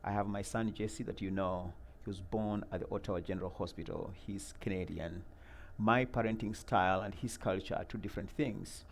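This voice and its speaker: A middle-aged man, from Uganda, answering interview questions about a mentoring program in an earnest, measured way, with many fillers and repeated words. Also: sits low, fairly even intonation, vocal fry, slight background noise.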